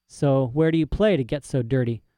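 Brief: narrator doing a cold, clipped lord, questions fired short, warm low-key timbre. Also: male voice, brisk, no echo, natural voice. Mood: stern. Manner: curt, probing questions. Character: stern sardonic aristocratic man.

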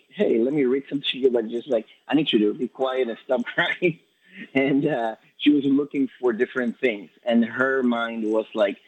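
A man from Ecuador, a poet being interviewed, answering in a quick, halting way with many restarts and fillers. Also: mid-range voice, narrow, tinny audio. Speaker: poet guest.

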